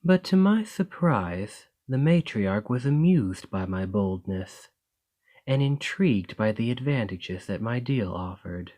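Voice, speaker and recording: A man's voice: lowish voice, lively intonation. A man playing a gracious lamia noble. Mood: reminiscing. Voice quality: soft, measured and formal. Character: male lamia noble merchant, calm and gracious. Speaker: boss speaking to the listener. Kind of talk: ASMR roleplay.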